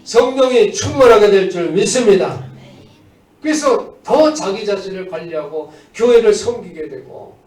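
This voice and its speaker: A male pastor delivers a church sermon in a fairly high voice. He exhorts the congregation, moving between firm proclamation and plain conversational asides. The sound is echoey and picked up a little away from the microphone. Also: animated, normal speed, darkish tone. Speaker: pastor preaching. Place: indoors at a church service. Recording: echoey church room, distant mic.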